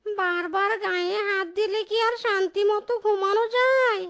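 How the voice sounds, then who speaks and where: A performer voicing a mischievous, lazy, greedy cartoon ghost, talking to itself in a drawn-out whine over music. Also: very high voice, a bit bright. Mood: grumbling, scheming. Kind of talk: cartoon voice acting.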